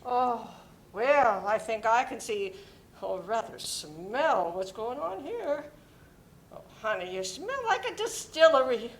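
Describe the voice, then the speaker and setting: A woman playing a warm, fussy, motherly older neighbour in a dramatic play reading, doting and fretful as she chats. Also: on the high side, big pitch swings, slow pace, full character voice, low-quality recording. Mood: concerned.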